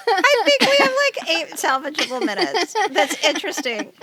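A woman's voice: high-pitched, sing-song, normal speed, sharp tone, a little hiss or hum. A middle-aged woman co-hosting a podcast, chatting casually and telling personal anecdotes. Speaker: podcast co-host.

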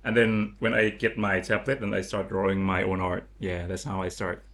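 Lao-man, halting speech. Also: sits low, level tone, a bit bright. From Laos. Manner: halting, repeats words while searching for English. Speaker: guest artist. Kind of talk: casual conversation.

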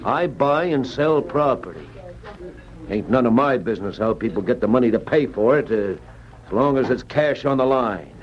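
An actor playing a callous, crooked property dealer in a gold rush radio drama, with brash, folksy frontier speech. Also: male voice, medium pitch, expressive, normal speed, close to an everyday voice. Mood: smug, dismissive. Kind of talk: old-time radio drama acting. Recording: muffled, noisy old radio recording.

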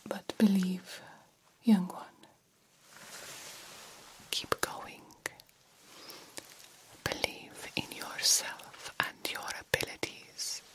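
Vampire doctor, female, played by an actress: stern yet encouraging mentor for whispered ASMR roleplay, extremely slow, hypnotic delivery. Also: mid-range voice, level tone. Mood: intent, encouraging. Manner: slow, deliberate, hypnotic guiding with formal wording.

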